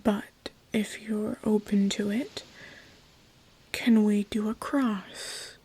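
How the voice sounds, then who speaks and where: Woman acting as a patient god, soft whispery delivery, even pitch, unhurried, careful, soothing, intimate ASMR roleplay. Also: poor audio. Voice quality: breathy, often whispering. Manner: slow, careful, reassuring explaining. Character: gentle, patient trapped god, soft and reassuring.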